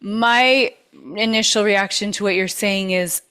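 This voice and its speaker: A woman's voice: medium pitch, a little slow, fairly bright tone, clear, resonant tone. A woman with a trained voice, hosting a masterclass and coaching in a casual, earnest way, leaning hard on repeated words. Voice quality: vocally trained. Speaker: masterclass host.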